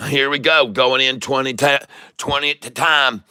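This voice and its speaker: A man with a very bright, sharp voice and a thick Southern US drawl. He plays up the folksy country-boy talk, boasting and cussing casually like a livestream host hyping up his followers. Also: mid-range voice, steady tone, moderate pace, clear, resonant tone. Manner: chuckles often, swears casually. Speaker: livestream stock trading host. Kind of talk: casual livestream chat, hype trading commentary.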